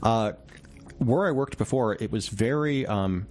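A man being interviewed on radio as a reporter, speaking casually and reflectively in a measured, halting way. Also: on the low side, a little mellow, soft background music. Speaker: newspaper reporter, interview guest.

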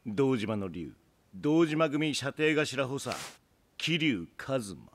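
A man's voice: mid-range voice, big pitch swings, takes it slowly, heavy background noise. An actor playing a sly, mocking prison thug who drawls his taunts, in a crime video game. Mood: sly, needling. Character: sly, taunting convict sent to attack.

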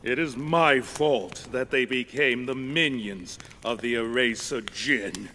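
A man's voice: medium pitch, slow pace, sharp tone, a little hiss or hum. An actor playing a grand old skeletal king in a video game, courtly and grave, with formal, theatrical phrasing. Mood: grave explaining. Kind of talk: video game cutscene acting.

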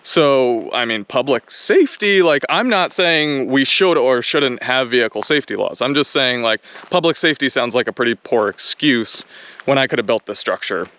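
A young man, a vlog co-host, explaining outdoors in a wooded clearing in a casual, exasperated way, full of "like"s. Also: mid-range voice, animated, a bit bright. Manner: exasperated, fast explaining with many "like"s. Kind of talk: casual chat to camera. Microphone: camera-mounted mic, later handheld selfie camera. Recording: outdoor camera audio from a little distance.

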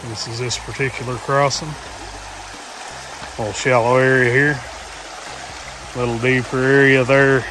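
A man's voice: mid-range voice, unhurried, long pauses, noisy background. A young man narrating his hike outdoors in a forest, talking casually into a phone held at arm's length, with plenty of "uh" fillers. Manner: relaxed, practical, many "uh" fillers. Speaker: hiking vlogger.

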